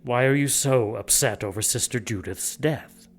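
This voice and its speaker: An actor playing a cold, scheming male monk who speaks in a smooth, condescending way. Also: medium pitch, moderate pace, crowd noise, lightly acted.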